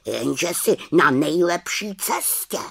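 A woman voicing a wise, stern male raven in an audiobook, speaking slowly and scolding. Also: low voice, sing-song, vocal fry, breathy edge, pitched above the performer's own voice, full character voice. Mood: reproachful. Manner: slow, scolding and preachy. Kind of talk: audiobook character reading.

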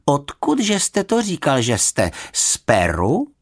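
An older, motherly housekeeper from a children's storybook, as acted out by a narrator. The voice is pitched up and swoops about, brusque and exclamatory, scolding yet kindly. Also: wildly swooping pitch. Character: stout motherly grey-haired housekeeper, brusque and fussy.

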